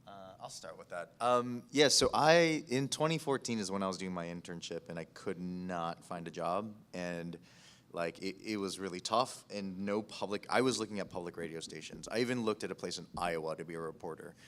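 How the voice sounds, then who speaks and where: A man's voice: low voice, brisk, a bit bright. An American man speaking informally and conversationally as a podcast producer on a panel. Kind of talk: casual panel discussion.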